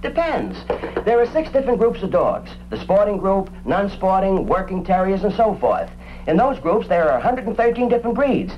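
A proud animal-act agent from old-time radio. The voice is high and very animated, rattling on in folksy slang, heard through a dull vintage recording with faint noise. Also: male voice, normal speed. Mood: knowing, emphatic. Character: chatty, proud male animal-act agent, showbiz enthusiast.

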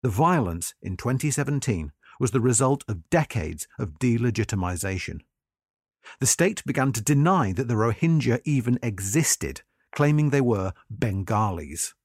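A man reading a scripted article aloud as narrator, in a measured, formal and articulate manner. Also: mid-range voice, sing-song, breathy edge, dry recording, clean studio sound.

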